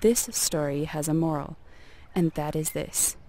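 A woman narrating a folk tale as a storyteller, in a measured and expressive storybook delivery. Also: low voice, slight background noise.

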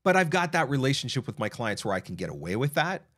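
A man's voice: medium pitch, sing-song, quick pace, a bit bright. A man in his thirties hosting a live voiceover advice stream, answering chat questions in a conversational way with fillers and restarts, close to a studio mic in a small home studio. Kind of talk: casual advice livestream. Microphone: broadcast mic on arm, close.

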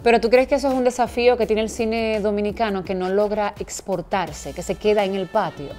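A woman in her thirties hosting a TV interview, asking clear probing questions into a lapel mic in a studio. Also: mid-range voice, steady tone, brisk, a little mellow, faint music behind. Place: indoor TV studio set with sofa.

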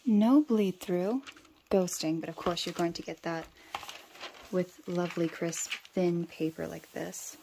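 A woman casually narrating as she journals, talking in an unhurried, musing way. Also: fairly low pitch, background noise. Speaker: stationery vlogger.

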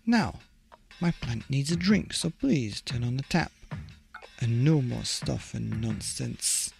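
A man voicing a plucky, bossy little male mouse with a brisk, no-nonsense manner in a storybook. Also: mid-range voice, animated, gravelly, soft background music, exaggerated voice. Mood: firm.

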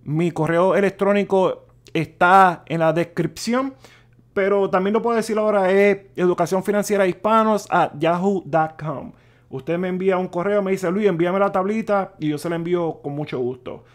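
Stammering man, high-pitched voice. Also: fairly even intonation, moderate pace. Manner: stammering, repeats words, switches to English words. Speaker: personal finance channel host. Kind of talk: casual explanatory talk over a spreadsheet. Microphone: voice-over on a screen recording.

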